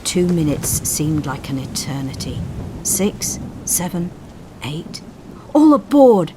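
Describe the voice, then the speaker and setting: Low female voice, dramatic story narration, expressive and suspenseful, storm effects faintly behind. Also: slow, noisy background. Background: rain, thunder and storm effects.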